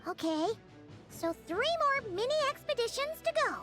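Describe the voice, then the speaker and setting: Casting: tiny grumbly cartoon fairy sidekick, extremely high squeaky voice, swoopy delivery, game music behind. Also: female voice, very animated, normal speed. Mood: puzzled. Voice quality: squeaky, childlike. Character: tiny childlike female fairy companion, excitable and grumbly. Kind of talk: cartoonish video game voice acting.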